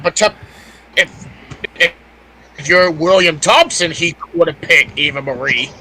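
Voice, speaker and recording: A man's voice: fairly high pitch, a little slow, pushed and bright, slight background noise, poor audio. A man co-hosting a casual, opinionated chat, insistently restarting his phrases to make a point. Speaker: podcast co-host.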